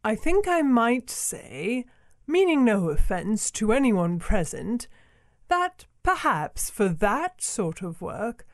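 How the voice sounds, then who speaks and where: A man voicing a genteel, self-satisfied female elephant in a storybook, speaking with measured, politely condescending thoughtfulness. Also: high voice, very animated, no echo, clean studio sound, voice pushed up, heavily acted. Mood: thoughtful, decided. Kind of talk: storybook character voice.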